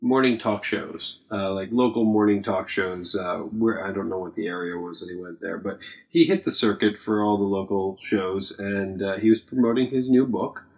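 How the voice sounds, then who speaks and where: A young man chatting casually, rambling with many ums and uhs and trailing off quietly. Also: on the low side, moderate pace, lo-fi sound. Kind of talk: casual podcast chat.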